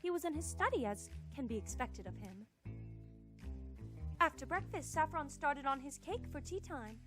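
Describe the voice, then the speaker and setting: A woman voicing a genteel, dramatic young lady suspected of murder in an animated mystery parody. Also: high voice, moderate pace, over music. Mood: subdued, polite. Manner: genteel, melodramatic, pleading.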